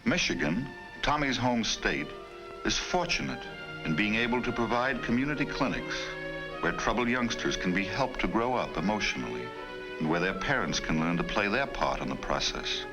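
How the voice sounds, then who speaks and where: A male narrator of an old educational film, very low in pitch with lively rises and falls. He sounds formal and authoritative, with prominent music behind him on the vintage soundtrack. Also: normal speed, poor audio.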